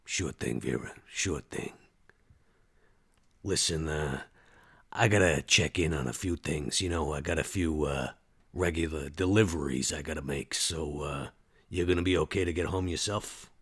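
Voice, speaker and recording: Man playing a gravelly, very deep-voiced sly sneak. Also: normal speed, full character voice. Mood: subdued. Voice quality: gravelly, husky. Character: Max, sly gravelly sneak who can vanish, dryly loyal. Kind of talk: improvised tabletop roleplay.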